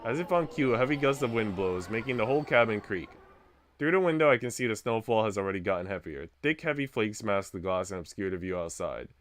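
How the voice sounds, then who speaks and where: A man's voice: on the low side, expressive, fast talker, slight background noise. A man streaming a visual novel, reading its narration aloud and chatting with viewers.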